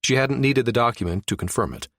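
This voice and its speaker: A man's voice: medium pitch, fast, gravelly, fairly bright tone, no room sound, clean studio sound. A man reading a science fiction audiobook as scripted narration.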